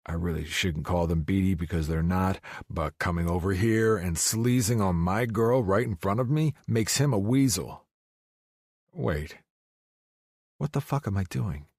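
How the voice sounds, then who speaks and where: A man's voice: deep voice, measured pace, darkish tone, slightly rough, no echo, clean studio sound. A man narrating a romance audiobook in the first person, in a brooding, terse manner.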